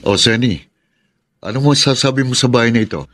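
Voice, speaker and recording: A man's voice: medium pitch, fairly quick, loud music behind the voice, lightly acted. A man playing an assertive, easily exasperated engineer in a Filipino radio drama, given to protesting. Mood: upbeat. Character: adult male engineer boyfriend, exasperated, assertive.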